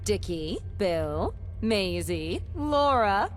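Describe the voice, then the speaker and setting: A woman playing an eccentric, excitable, boastful young treasure hunter in a video game, chatty and theatrical with dramatic emphasis. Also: mid-range voice, extremely slow, soft background music. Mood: breezy introduction. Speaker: game companion character.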